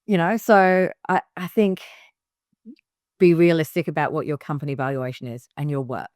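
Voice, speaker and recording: A woman's voice: low-pitched, steady tone, normal speed, darkish tone, no room sound, clean studio sound. A middle-aged woman from Hong Kong, a business founder giving direct, emphatic advice in a casual interview, with frequent fillers and restarts.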